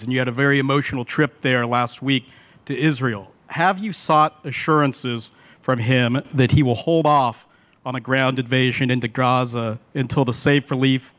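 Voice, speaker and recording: A man working as a reporter, asking formal, measured questions at a press conference. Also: medium pitch, steady tone, fairly bright tone.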